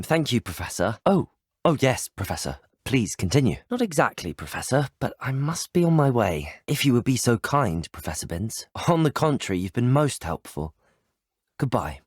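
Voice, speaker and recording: A man's voice: medium pitch, breathy edge, no echo, clean studio sound. A man voicing an earnest, polite young student wizard in a video game, with a British accent and courteous, articulate delivery. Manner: courteous, articulate, formal phrasing. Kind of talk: scripted video game dialogue, isolated voice clips.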